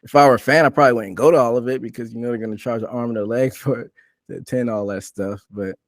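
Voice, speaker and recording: A man's voice: mid-range voice, steady tone, fast talker, dry recording, studio quality. A man hosting a sports podcast, talking casually through a recap and putting questions out for discussion. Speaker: podcast host.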